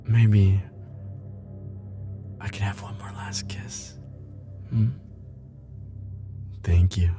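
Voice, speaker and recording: An actor playing a lovestruck immortal man, a tender and yearning hopeless romantic, in an intimate ASMR roleplay, with breathy, hesitant, pleading phrasing. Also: fairly low pitch, fairly even intonation, very slow, pauses often, over music. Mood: wistful.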